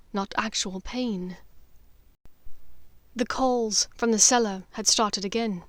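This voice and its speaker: A woman's voice: medium pitch, no room sound. A woman reading dramatic fiction as an audiobook narrator.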